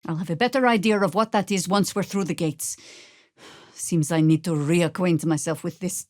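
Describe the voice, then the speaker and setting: Woman acting as seasoned female druid warrior, lowish pitch, sardonic, commanding, theatrical, fantasy game dialogue, very dry studio sound. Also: normal speed, darkish tone, no echo, close to an everyday voice. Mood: wry, measured.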